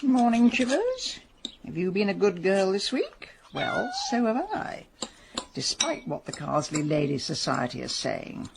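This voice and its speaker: An actress playing a sharp-tongued, irritable middle-aged woman sleuth in a British radio drama, brisk and sardonic. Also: mid-range voice, huge pitch swings, gravelly, noisy background, heavily acted. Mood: chatty, wry.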